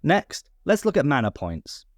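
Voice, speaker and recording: A man's voice: fairly high pitch, huge pitch swings, measured pace, slight background noise. A man narrating a scripted tutorial on tabletop game rules, recorded as a close voice-over. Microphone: close voice-over microphone.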